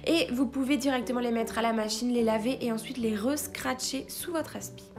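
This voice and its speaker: A young woman in her twenties speaks in a slightly high, chatty voice-over for a home cleaning vlog. She praises a product with eager enthusiasm, and soft music plays quietly behind her. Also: steady tone, a little fast. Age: 20s. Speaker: vlogger, young mother. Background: faint background music.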